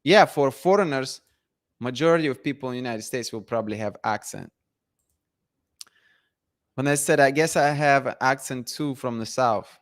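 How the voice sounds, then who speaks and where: A man's voice: mid-range voice, moderate pace, long pauses. A man hosting a casual live chat, reading viewer comments and talking with many restarts and fillers. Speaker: livestream host.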